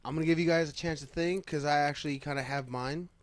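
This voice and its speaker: A man's voice: fairly high pitch, steady tone, fast, dry recording. A man hosting a casual chat show, talking informally and with some swearing, often circling back as he phrases a thought. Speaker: podcast host. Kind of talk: casual chat about pro wrestling.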